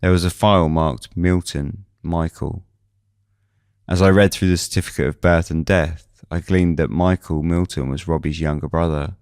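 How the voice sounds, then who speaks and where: A man's voice: very deep voice, smooth, dry recording, studio quality. A man reading scripted first-person suspense narration as the narrator of a fiction podcast.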